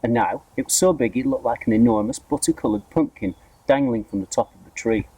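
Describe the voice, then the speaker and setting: A man reading a children's story aloud in an expressive narrating style. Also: mid-range voice, fairly quick, breathy edge, no room sound, poor audio. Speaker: storybook reader, school staff member.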